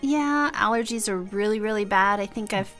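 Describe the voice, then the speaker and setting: A woman co-hosting a podcast in casual chat, calm and earnest as she tells stories. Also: medium pitch, normal speed, soft background music. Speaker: podcast co-host.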